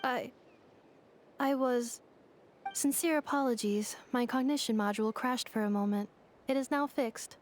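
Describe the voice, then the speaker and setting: A woman voicing a polite, earnest young female robot in a video game, speaking in formal, measured, clinical phrases. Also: fairly high pitch, slight background noise, lightly acted. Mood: apologetic, composed. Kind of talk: video game character acting.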